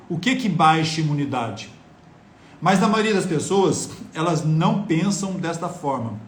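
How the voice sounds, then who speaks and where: A man's voice: high-pitched, reverberant. A man giving a live-streamed health talk, lecturing viewers earnestly and asking them rhetorical questions. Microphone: phone on mobile data.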